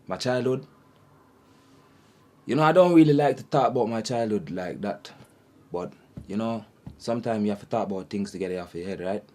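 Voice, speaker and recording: A young man from Jamaica talking hesitantly about his life in an interview, filling pauses with "you know". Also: on the low side, warm tone. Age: young adult. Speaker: hostel resident.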